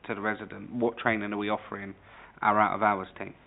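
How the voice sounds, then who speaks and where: Gravelly low-voiced man, narrow-band audio. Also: level tone, fast. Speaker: councillor questioning officers at a committee. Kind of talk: formal questioning at a public meeting. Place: indoor council committee meeting.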